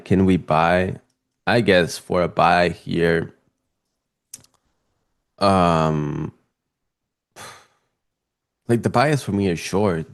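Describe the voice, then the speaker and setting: A young man from Spain, speaking English with a Spanish accent, talks through live trades on a stream. His voice sits very low. He stays casual and chatty with viewers and gets excitable. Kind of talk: live market commentary.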